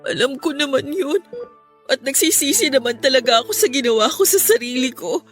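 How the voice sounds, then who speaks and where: High-voiced, remorseful young Filipina daughter. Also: female voice, expressive, a little fast, faint music behind. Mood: dazed, regretful. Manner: pleading, tearful delivery. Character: distraught, remorseful young woman, a shamed daughter. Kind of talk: radio drama acting.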